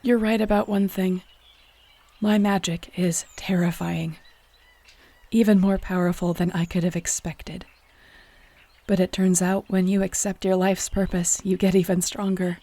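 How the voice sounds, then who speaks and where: A woman performs a resolute wolf shifter heroine in a fantasy audio roleplay. Her voice is thick with fry and fairly flat in pitch, steady and defiant. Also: measured pace, no echo. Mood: triumphant, cold. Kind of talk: audio roleplay drama acting.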